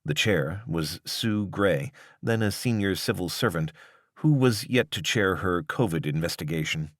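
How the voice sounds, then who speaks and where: A man reading a long-form news article as scripted narration, in a measured, articulate and formal way. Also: deep voice, no echo, studio quality.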